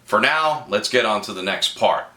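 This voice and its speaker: A man's voice: lowish voice, expressive, moderate pace. A man explaining a song's chords and harmonies as a guitar teacher, breaking in with playful, wry asides. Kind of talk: guitar tutorial with music analysis. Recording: echoey room with loud music. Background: loud guitar and band music.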